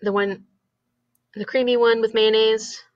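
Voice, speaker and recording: A woman's voice: fairly high pitch, flat delivery, measured pace, no echo. A woman with a nasal voice, hosting a reading stream and chatting casually with a friend, laughing often and hunting for words. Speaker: host of a reading livestream. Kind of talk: casual chat between friends. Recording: dull, muffled audio.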